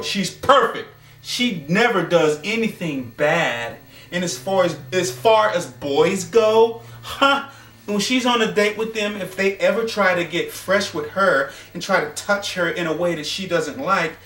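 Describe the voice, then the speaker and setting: A man tells an off-the-cuff story in a distinctly high voice. He phrases things slowly and dramatically, leaving deliberate pauses. The sound is roomy and echoey, picked up some way from the microphone. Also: a bit bright. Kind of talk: improvised spoken storytelling. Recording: roomy echo, distant from microphone.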